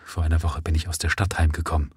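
A man's voice: rumbling low, flat delivery, quick pace, husky, no room sound, clean studio sound, pitched below the performer's own voice, full character voice. A man voicing a gaunt, pale widowed father just out of prison, pleading in a trembling, urgent voice.

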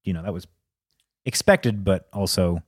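A man with a lowish voice talks films as a podcast co-host. His delivery is informal and argumentative, and the sound is close and dry with little room echo. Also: measured pace, breathy edge. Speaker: film review podcast co-host. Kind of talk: casual film discussion.

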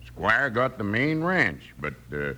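An actor playing a folksy, matter-of-fact American land clerk in an old radio Western. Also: male voice, fairly low pitch, big pitch swings, slow, pushed and bright, exaggerated voice. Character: plainspoken, friendly frontier land-office clerk, cautious. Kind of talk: 1950s old-time radio Western acting. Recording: old radio recording, faint noise.

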